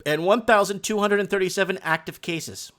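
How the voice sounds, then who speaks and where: Guy hosting a casual call-in stream, voice a bit high, kinda halting, thinks out loud and repeats himself. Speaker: live stream host taking guest calls.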